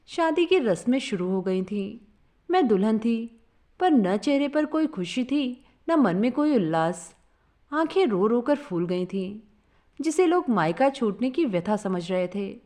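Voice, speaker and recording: A woman narrating a sentimental first-person story in an earnest, expressive reading style. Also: fairly high pitch.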